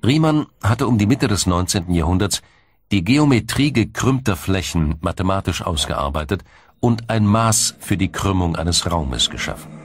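A man reading scripted documentary narration in a measured, explanatory way. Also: low voice, lively intonation, husky, dry recording. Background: music.

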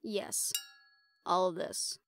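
A woman's voice: drawn-out words, no room sound. A woman playing a confident, teasing monster hunter in an audio roleplay, with a wry, coaxing manner. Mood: businesslike, discreet. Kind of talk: intimate scripted audio drama acting.

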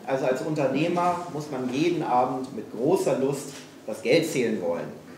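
A man's voice: mid-range voice, animated, moderate pace, a little mellow. A middle-aged man from Hamburg, speaking frankly and with self-mockery to a live audience, full of hesitations. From Hamburg, Germany. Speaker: speaker at a failure-stories evening. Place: small event room with audience. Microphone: room PA, recorded from a distance. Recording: echoey room, distant mic, faint noise.

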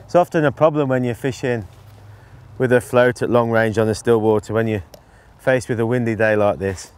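Unhurried middle-aged man outdoors. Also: medium pitch, steady tone, a little mellow, clean tone. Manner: unhurried, halting phrases while busy. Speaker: angling instructor, presenter. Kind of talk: relaxed instructional commentary while fishing. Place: outdoors on an open lakeside bank.

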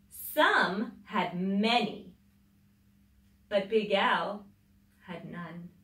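A middle-aged woman reading a picture book aloud to children in a dramatic, emphatic way, drawing out words. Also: mid-range voice. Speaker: children's storytime host, teacher. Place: small indoor library room with tiled floor. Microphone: camera a few metres away. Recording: roomy echo, distant microphone.

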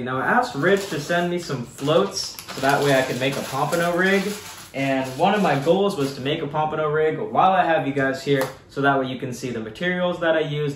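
A young man, a fishing guide, chatting easily to his viewers as he unboxes gear at his desk in a small home office. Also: mid-range voice. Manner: easygoing, chatty, addresses viewers as guys. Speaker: surf fishing guide and channel host. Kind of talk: casual unboxing vlog talk. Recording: echoey room with faint hiss.

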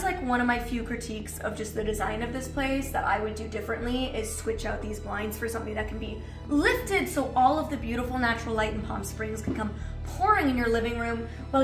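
A woman in her thirties chatting enthusiastically as she walks through a house on a vlog tour, recorded on a handheld camera. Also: a little high. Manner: enthusiastic, chatty, walking around. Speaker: vlogger giving a house tour. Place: large bright house interior, tiled floors. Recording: echoey room with music under. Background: background music.

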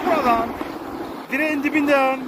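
A man skiing down an outdoor slope, giving excited sportscaster-style running commentary to viewers, with repeated catchphrases. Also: very high voice, normal speed. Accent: Turkish. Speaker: ski vlogger. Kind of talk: live commentary while skiing. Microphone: action camera mic in the wind. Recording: loud wind noise on an outdoor mic. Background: loud wind noise.

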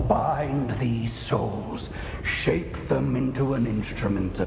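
An actor playing a solemn high priest in a fantasy game, gravely declaiming a ritual incantation. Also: male voice, background noise. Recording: echoing space under loud music.

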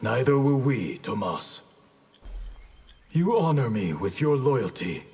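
A man's voice: mid-range voice, sing-song, slow, darkish tone, husky, full character voice. A man playing a stern, honor-bound ninja clan leader with a solemn, formal delivery in a fighting game. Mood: solemn, resolute. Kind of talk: dramatic fighting game dialogue. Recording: reverberant hall-like game mix.

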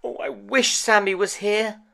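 A man's voice: high voice, steady tone, unhurried, vocal fry, sharp tone, voice pushed up, full character voice. A man voicing a small, trusting, anxious toy rabbit from a storybook in a plaintive, childlike manner. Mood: wistful, worried.

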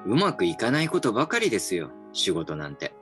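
An actor as wry, reflective office man, very lively pitch. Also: fairly quick. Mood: dejected, musing. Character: reflective male company employee, wry and a little dejected. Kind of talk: voice drama acting with inner narration. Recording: music behind the voice.

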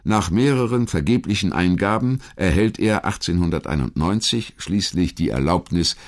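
A man reading a scripted historical narration in a measured, articulate storytelling manner. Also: sits low. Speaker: documentary narrator.

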